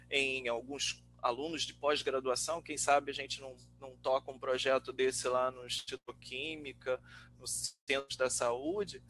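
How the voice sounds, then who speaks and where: A Brazilian man, a researcher and teacher, talking informally and reflectively in a webinar, halting as he thinks aloud. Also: mid-range voice, fairly bright tone. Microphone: online webinar call. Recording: noisy webinar audio with hum.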